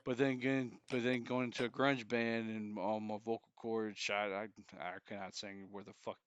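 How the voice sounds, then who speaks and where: A man's voice: fairly low pitch, level tone, normal speed, clean tone, no echo, dull audio. A man in his forties co-hosting a podcast, chatting casually with a joking, profane manner. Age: 40s. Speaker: podcast co-host. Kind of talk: casual chat.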